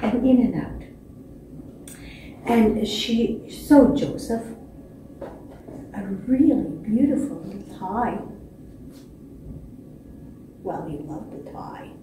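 A woman in her sixties telling a children's story expressively, with dramatic pauses and repetition. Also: mid-range voice, warm tone. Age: 60s. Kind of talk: animated children's storytelling from memory. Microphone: distant camera microphone. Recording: echoey stage, distant mic, faint noise.

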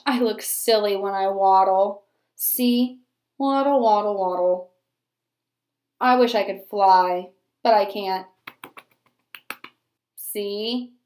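A woman's voice: mid-range voice, echoey room. A woman voicing a grumbling young male penguin with a whiny, exasperated manner in a storybook read-aloud. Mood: glum, then bewildered. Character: grumbling, put-upon young male penguin full of complaints.

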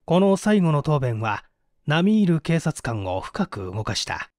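A man narrating a detective story as an audiobook, with theatrical, deliberate phrasing. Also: on the high side, very animated, darkish tone, no echo, clean studio sound. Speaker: audiobook narrator, stage actor.

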